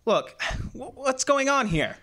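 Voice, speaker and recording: A man plays a plain-talking detective's assistant in a radio play. His bright voice takes its time. He talks in a casual, colloquial way. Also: very high-pitched, sing-song, slow pace, full character voice. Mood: astonished. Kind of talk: old-time radio detective drama acting.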